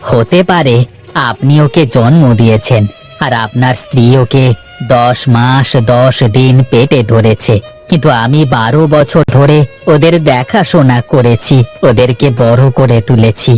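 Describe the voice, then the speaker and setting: A man voicing a humble, caring boatman and adoptive father in an animated moral story, speaking earnestly and with feeling. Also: mid-range voice, a little slow, faint music behind, natural voice. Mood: firm, heartfelt.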